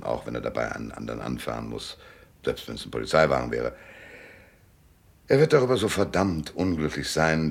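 Hardboiled private eye, super low husky voice, dry n world-weary, big swings in pitch, radio play. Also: male voice, exaggerated voice. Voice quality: very deep, gravelly, husky. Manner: laconic, dry, knowing. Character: world-weary hardboiled private detective, cynical but tender. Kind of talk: German radio drama acting.

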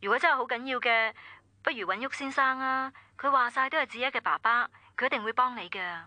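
A woman's voice: on the high side, brisk, sharp tone, dry recording. An actress with a Hong Kong Cantonese accent playing a composed, admonishing female relative taking a phone call in a radio drama.

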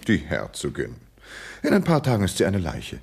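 Lazy young dandy in a radio play, deep husky voice, airy and mocking. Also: male voice, huge pitch swings, moderate pace, exaggerated voice. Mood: coolly sinister. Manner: airy, flippant, coolly sinister. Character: idle, dandyish young man, flippant and sly.

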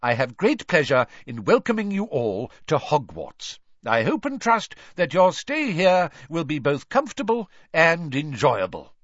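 A man voicing a genial old headmaster wizard who welcomes guests warmly and ceremoniously, on a dull, dry recording. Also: fairly high pitch, huge pitch swings, unhurried, few pauses, dry recording, pitched above the performer's own voice, exaggerated voice. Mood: welcoming. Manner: warm and ceremonious.

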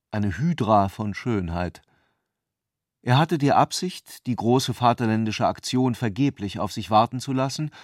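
A man as a measured, ironic narrator in a literary radio drama, reading in a deliberate, wry way. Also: medium pitch, no room sound, studio quality, lightly acted.

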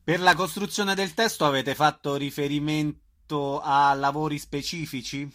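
A male radio interviewer with a bright, fairly high voice. He puts questions to his guests in a casual, conversational way. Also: steady tone, moderate pace.

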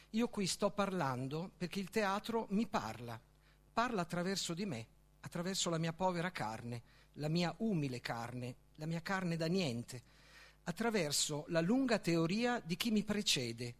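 Slow, high-pitched man reciting dramatically. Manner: declamatory, deliberate, with emphatic pauses. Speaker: author reading own book. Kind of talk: literary reading, dramatic recitation.

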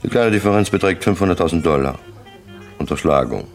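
Very deep, gravelly crime boss. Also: male voice, lively intonation, fairly quick, soft background music. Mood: cynical, lecturing. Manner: imperious, matter-of-fact, lecturing in clipped statements. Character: ruthless, businesslike crime syndicate boss giving orders over dinner. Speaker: radio play actor. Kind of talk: 1960s German radio play acting.

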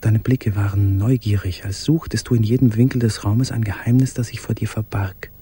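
An actor playing a brooding, tender musician in a literary radio drama, speaking in an intimate, measured way with a husky voice. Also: male voice, on the low side, fairly even intonation, mellow. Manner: intimate, measured, sometimes dropping to a whisper. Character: brooding, tender male musician writing to his beloved. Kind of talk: literary radio drama monologue with recited verse.

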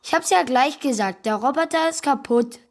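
A woman's voice: high-pitched, moderate pace. A woman playing an eager, cheeky young boy in a children's radio play. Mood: cheeky, confident.

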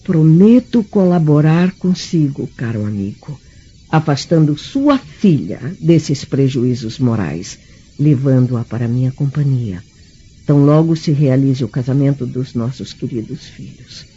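An actress with a Brazilian accent playing a mature, haughty Roman noblewoman in a radio drama, speaking in a formal, measured, disapproving way with a husky, mellow voice. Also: very deep voice, lively intonation, a little hiss or hum. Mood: grave, resolved. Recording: dull, muffled radio drama recording.

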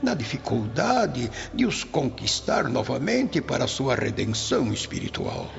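Slow, creaky old spirit grandfather. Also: medium pitch, huge pitch swings, full character voice. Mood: solemn, grave. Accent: Brazilian. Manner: solemn, preaching consolation in measured phrases. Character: luminous spirit of an old grandfather, tender and solemn. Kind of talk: Brazilian radio drama acting. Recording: dull lo-fi audio under music. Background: music.

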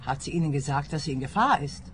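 An actress playing an energetic, demanding adult daughter in a radio play, insistent in manner. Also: female voice, deep voice, fast, slight background noise. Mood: insistent, accusing. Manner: forceful, demanding. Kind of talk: radio drama acting.

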